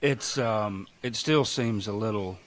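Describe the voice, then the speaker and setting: A man's voice: on the low side, a little slow. A man from Mississippi with a Southern accent, chatting casually as a farmer guest on a podcast, folksy and self-deprecating. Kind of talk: casual chat.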